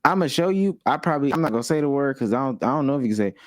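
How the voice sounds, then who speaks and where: Podcast dude talking ball, voice bounces up and down, dry close mic. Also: male voice, medium pitch, expressive, very fast, no room sound. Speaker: podcast co-host. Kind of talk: casual group banter.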